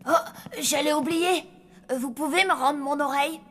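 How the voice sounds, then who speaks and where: A woman's voice: sits high, moderate pace, close to an everyday voice. A woman voicing a mischievous, boastful zombie boy in a French cartoon, playful and theatrical. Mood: flustered. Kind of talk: French animated cartoon dub acting. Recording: loud music behind the voice.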